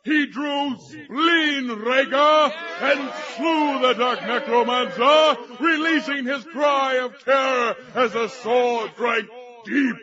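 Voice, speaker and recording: A man playing a grandiose storyteller, narrating a dark barbarian legend in a theatrical, drawn-out way. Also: very high voice, lo-fi sound, crowd noise. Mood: fierce, booming. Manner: theatrical, bombastic, drawn-out delivery. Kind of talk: dramatic fantasy storytelling narration.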